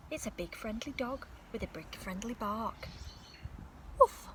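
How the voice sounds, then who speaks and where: A woman reading a children's picture book aloud outdoors in a park, in a soft, breathy storytelling voice with gasps and sudden shouts. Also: a little high, moderate pace, a little hiss or hum. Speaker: storybook reader.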